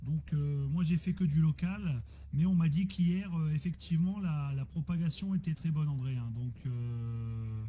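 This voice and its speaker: A man's voice: on the high side, normal speed, hardly any pauses, warm tone. A man talking casually as an amateur radio operator over a radio link, repeating himself with frequent tag words. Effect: radio transmission. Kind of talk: casual radio contact chat. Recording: muffled radio signal, faint static.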